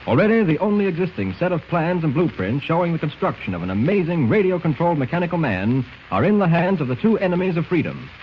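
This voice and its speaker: A man's voice: a little high, big pitch swings, fast talker. A man narrating a scripted 1940s radio serial as announcer, dramatic and emphatic. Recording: dull, noisy old radio recording.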